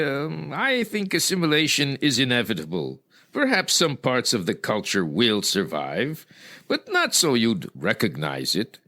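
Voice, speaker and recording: A man playing a smug, pragmatic high priest with dismissive, deliberate delivery in old-time radio style. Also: sharp tone, heavily acted.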